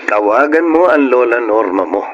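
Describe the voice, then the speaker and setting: Man playing a warm widowed father. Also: mid-range voice, moderate pace, muffled recording, close to an everyday voice. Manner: lively, tender, laughs nervously. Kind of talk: radio drama narration and acting.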